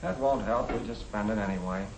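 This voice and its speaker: An actor playing a practical young American husband, plain-spoken and reasoning, in the style of a 1950 educational film. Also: male voice, on the low side, brisk, close to an everyday voice. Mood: matter-of-fact. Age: young adult. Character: young professional husband, practical, matter-of-fact, budget-minded. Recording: echoey, noisy 1950 film soundtrack.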